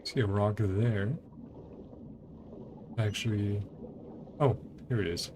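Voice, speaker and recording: A man's voice: lowish voice, steady tone, takes it slowly, noisy background. A man streaming a video game, giving casual, rambling commentary with muttered asides.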